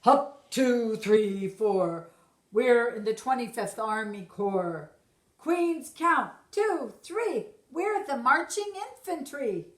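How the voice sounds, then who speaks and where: A woman voicing marching bug soldiers in a loud, rhythmic cadence chant for a children's storybook. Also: on the high side, animated, slow pace. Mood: spirited. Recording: roomy echo.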